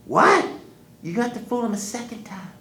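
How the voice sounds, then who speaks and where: A woman voicing a stern old grandmother who gives fast, insistent orders in a high voice. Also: sing-song, fairly bright tone, roomy sound.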